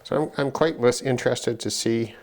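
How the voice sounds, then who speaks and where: A middle-aged man giving unscripted commentary on an electronics teardown, halting and musing as he thinks aloud. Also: mid-range voice. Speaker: electronics hobbyist presenter.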